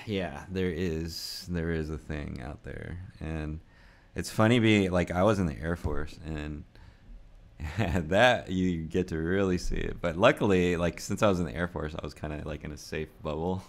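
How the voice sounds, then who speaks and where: A man's voice: extremely low pitch, vocal fry. A man in his forties, born in the United States, musing aloud in a rambling, unscripted vlog and laughing often. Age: 40s. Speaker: vlogger. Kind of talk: casual unscripted vlog talk.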